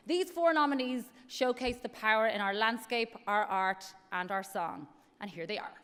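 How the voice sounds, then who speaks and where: A woman's voice: on the high side, normal speed, a bit bright, slight background noise. A woman presenting an awards ceremony from a stage, reading scripted lines to a large venue. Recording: reverberant hall PA with music.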